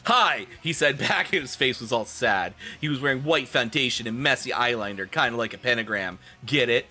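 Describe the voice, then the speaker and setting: A man doing comedic live-stream commentary and dramatic reading in an animated, theatrical, profane manner. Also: medium pitch, a little fast, soft background music.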